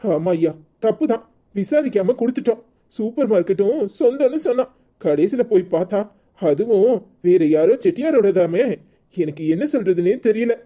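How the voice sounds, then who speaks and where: A man's voice: high-pitched, animated, moderate pace, mellow. A man playing a troubled, apologetic family man, worried and deferential, in a cartoon village drama.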